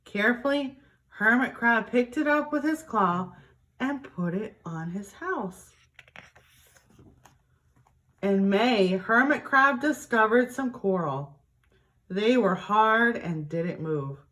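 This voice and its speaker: A woman reading a picture book aloud to young children in an expressive, deliberate teacher's way. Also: a little high.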